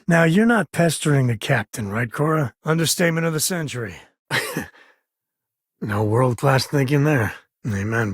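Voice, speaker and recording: Guy doing a laid-back space ranger dad for a game, husky mid-pitched voice, kinda folksy and wry. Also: no room sound, studio quality, close to an everyday voice. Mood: reflective, wry. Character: rugged, loyal space cowboy ranger and father, wry and easygoing. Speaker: video game voice actor playing a companion character. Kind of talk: game character voice lines.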